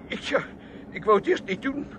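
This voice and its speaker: An actor playing a servile, apologetic foreign driver who pleads haltingly in broken Dutch with a foreign accent in a radio drama. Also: male voice, high-pitched, background noise, natural voice. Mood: nervous, defensive.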